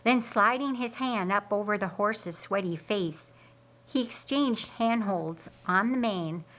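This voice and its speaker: A woman narrates a dramatic audiobook tale. Her pace is very slow, with deliberate, theatrical phrasing and a mid-range pitch. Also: a bit bright. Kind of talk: dramatic scripted storytelling narration.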